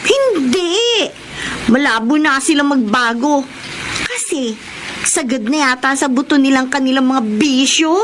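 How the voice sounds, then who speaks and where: Casting: actress as big-sisterly female street vendor, extremely high voice, emphatic, radio drama, noisy recording. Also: expressive, unhurried. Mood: frank, disapproving. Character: friendly older-sister rag vendor in a slum.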